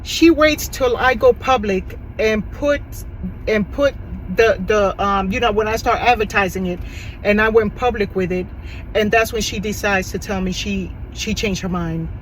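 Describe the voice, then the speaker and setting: A soft-voiced woman, a notary business owner, giving a frank and preachy personal rant with many restarts and fillers. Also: medium pitch, moderate pace, low-quality recording. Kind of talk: candid personal rant to camera. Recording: loud vehicle and street noise.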